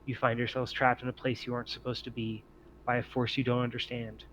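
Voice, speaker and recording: A man reading scripted, descriptive scene-setting narration as game master of a tabletop game, in a measured storytelling way. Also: medium pitch, flat delivery, a bit bright, poor audio. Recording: noticeable background noise.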